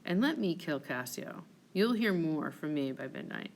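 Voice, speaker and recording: A woman reads a scheming officer in a stage tragedy. The delivery is measured and insinuating, in a lowish female register. The figure feigns reluctance while coolly manipulating the listener. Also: animated, a little hiss or hum. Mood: cold plotting.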